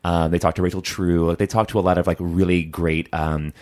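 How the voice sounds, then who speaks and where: A man's voice: sits low, steady tone, fairly quick, a little mellow. A man co-hosting a podcast, chatting casually about shows he recommends, rambling with lots of fillers and restarts. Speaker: podcast co-host.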